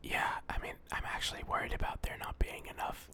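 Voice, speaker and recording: A podcast co-host improvising comedy banter in a hushed, playfully dramatic way. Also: fairly quick, in a whisper.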